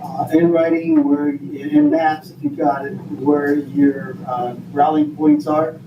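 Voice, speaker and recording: An older man from Vermont addresses a meeting in a fairly high, swooping voice. He rambles in a folksy, halting way. He is picked up from a distance in an echoey room. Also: sing-song, creaky, highs cut off. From Vermont, United States. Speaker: school board member leading the meeting. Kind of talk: informal public meeting remarks. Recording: echoey room, distant mic, noisy background.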